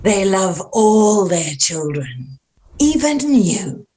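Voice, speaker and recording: An ancient female creature of cosmic horror, acted by a woman in a table read. The delivery is deliberate and grandiose, with a sinister, taunting menace at a middle pitch. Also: sing-song, warm tone. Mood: gloating, menacing.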